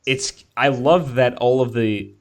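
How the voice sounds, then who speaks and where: A man co-hosting a podcast in a casual discussion, agreeable and halting, often rephrasing himself mid-sentence. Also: medium pitch. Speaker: podcast co-host.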